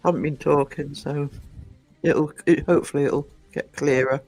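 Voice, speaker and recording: A man, croaky from just waking up, rambling chattily to his live-stream viewers from home and greeting them by name. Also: fairly high pitch, steady tone, moderate pace, darkish tone, faint music behind, poor audio. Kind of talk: casual live-stream chat. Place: indoors at home. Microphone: desk microphone.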